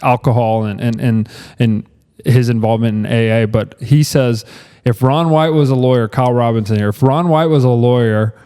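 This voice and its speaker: A man's voice: medium pitch, moderate pace, smooth, big-room sound. A young man co-hosting a podcast, asking questions in a casual, easygoing way with lots of fillers. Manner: casual, relaxed interviewer with fillers. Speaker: podcast co-host.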